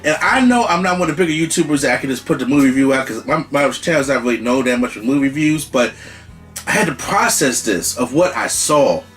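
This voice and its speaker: A man hosting his own channel, giving an excited spoken movie review with lots of restarts and emphatic repetition. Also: medium pitch, quick pace, faint music behind.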